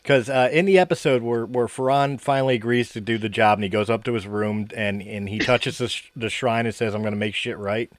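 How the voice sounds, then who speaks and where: A man's voice: medium pitch, steady tone, brisk, few pauses, no echo. A man hosting a casual podcast chat. He rambles, repeats himself and laughs often. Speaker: podcast host.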